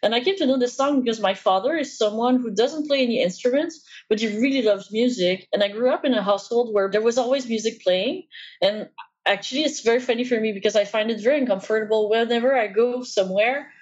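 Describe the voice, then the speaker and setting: A woman's voice: fairly high pitch, fast, husky, dull audio. Young woman with a Quebec French accent. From Quebec, Canada. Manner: thoughtful, hesitant with frequent fillers. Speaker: podcast guest, PhD student. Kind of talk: casual interview talk.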